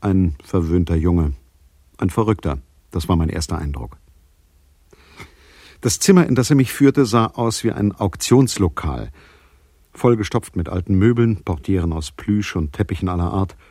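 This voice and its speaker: An actor playing a laconic, world-weary private detective in a radio drama, speaking in a dry, sardonic manner. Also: male voice, sits low, expressive, moderate pace, dark tone, no echo. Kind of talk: radio drama acting and first-person narration.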